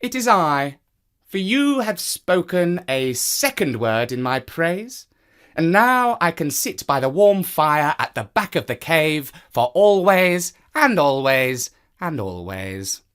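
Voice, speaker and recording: A storyteller voicing a sly, proud, aloof cat in a children's tale, with a drawn-out, self-satisfied delivery. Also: medium pitch, fairly bright tone, clean tone, pitched above the performer's own voice. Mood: smug.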